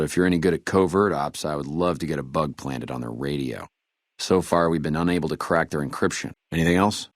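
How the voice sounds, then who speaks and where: An actor playing a weary, dutiful army trooper in a video game, speaking in a measured, earnest soldierly way. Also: male voice, extremely low pitch, no room sound, clean studio sound. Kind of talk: video game dialogue acting.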